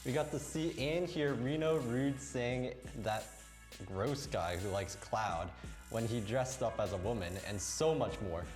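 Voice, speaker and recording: A young man who is not a native English speaker, reading gaming news in a stiff, flat way as co-host. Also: medium pitch, unhurried, over music. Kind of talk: scripted news read.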